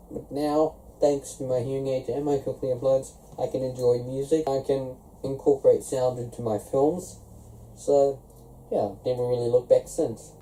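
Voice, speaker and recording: A young man from South Africa explaining things step by step in a relaxed, casual vlog. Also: medium pitch, a little hiss or hum, poor audio. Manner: relaxed, explaining step by step, many "so" and "of course" links. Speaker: vlogger.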